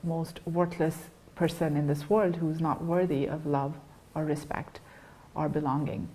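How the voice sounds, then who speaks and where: Young woman, low voice, earnest and halting, lots of ums, telling her own story on a talk show. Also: flat delivery, warm tone, poor audio. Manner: earnest, halting, with many fillers and restarts. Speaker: guest. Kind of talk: personal testimony in an interview.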